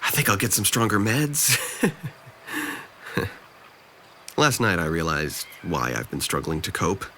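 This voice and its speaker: A young man playing a depressed, self-blaming young man in a drama, speaking haltingly and subdued. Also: low-pitched, sing-song, bright, forceful tone, slight background noise, heavily acted. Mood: anxious, resigned. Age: young adult. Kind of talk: naturalistic dramatic dialogue.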